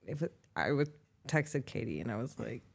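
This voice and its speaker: A woman co-hosting a podcast, chatting in a relaxed way and chuckling often. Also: very deep voice, normal speed, creaky. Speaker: podcast co-host. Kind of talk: casual chat.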